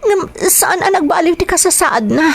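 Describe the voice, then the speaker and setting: A woman with an Ilocano accent narrating a drama and playing a worried mother, speaking softly and anxiously, sometimes tearful. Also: extremely high pitch, lively intonation, normal speed, creaky. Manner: anxious, tearful, soft-spoken.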